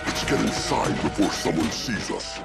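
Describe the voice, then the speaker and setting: An actor playing a big alien outlaw in a video game, a devoted brother who talks in a blunt, weary, earnest way. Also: male voice, on the low side, lively intonation, normal speed, vocal fry. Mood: dry, persuasive. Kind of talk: dramatic game cutscene acting. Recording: loud music over the voice.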